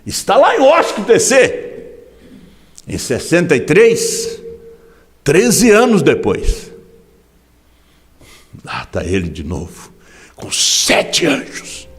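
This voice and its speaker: Male pastor with a Brazilian accent, high voice, impassioned sermon delivery, long church reverb. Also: huge pitch swings, slow pace, long pauses. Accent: Brazilian Portuguese. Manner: impassioned preaching with sudden shouts and hushed drops. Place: large church hall.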